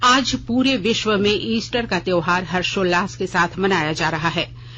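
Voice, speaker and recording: A news reader presents a scripted radio bulletin with a formal, measured delivery. The voice sits at a middle pitch. The recording is dull and lo-fi, with faint noise and hum behind it. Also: bright, forceful tone.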